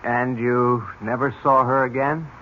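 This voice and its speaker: An actor playing a polite, probing police lieutenant with a deliberate, courteous way of questioning, in old-time radio drama. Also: male voice, medium pitch, little pitch movement, close to an everyday voice. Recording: dull, noisy old radio recording.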